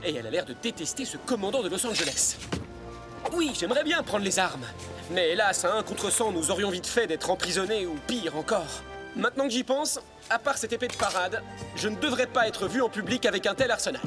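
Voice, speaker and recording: A spirited young nobleman hero from a cartoon. He speaks in a high, animated voice with polished theatrical flair, over background music. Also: a little fast. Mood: concerned, reflective. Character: spirited, gallant young nobleman hero, eager and warm-hearted. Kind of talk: French cartoon dubbing. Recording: music under the voice.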